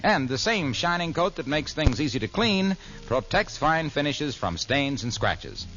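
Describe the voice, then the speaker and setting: Animated, highish-voiced male radio announcer. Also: a little slow, highs cut off, faint music behind. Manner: polished, salesmanlike. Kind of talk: 1940s scripted radio commercial. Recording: band-limited vintage radio recording.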